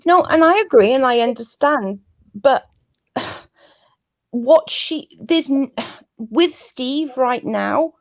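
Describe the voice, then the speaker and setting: A woman's voice: sits high, animated, slow, no echo, muffled recording. A woman who stutters, talking earnestly and pleadingly over a video call. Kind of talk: heated casual chat.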